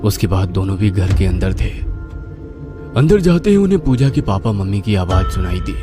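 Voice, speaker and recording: A man's voice: low-pitched, big pitch swings, moderate pace, over music. A man narrating a scripted horror cartoon story.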